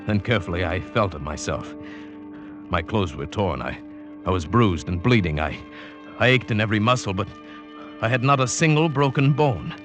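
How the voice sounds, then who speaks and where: An actor playing a grave, deferential Andean mountain guide in an old radio drama, speaking and narrating in a formal, dramatic manner. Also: male voice, fairly low pitch, animated, natural voice. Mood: awed, reflective. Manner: formal, measured, dramatic delivery. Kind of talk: 1940s radio drama acting with dramatic first-person narration. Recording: old radio broadcast with music behind.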